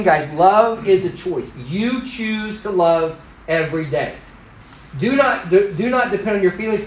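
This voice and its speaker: A man in his fifties who grew up in Thailand, teaching a church class in an animated, joking way and teasing the people in it. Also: high-pitched, slight background noise. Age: 50s. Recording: echoey room.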